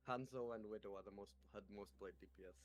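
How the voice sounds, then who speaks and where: Male teammate on voice chat, laconic and teasing, lower voice. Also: steady tone, fast talker. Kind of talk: casual gaming banter.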